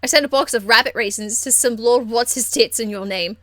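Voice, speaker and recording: A woman voicing a cheeky, crude young street rebel woman from a fantasy game, blunt, slangy and excitable. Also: on the high side, a little fast, no echo, natural voice. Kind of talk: video game character acting.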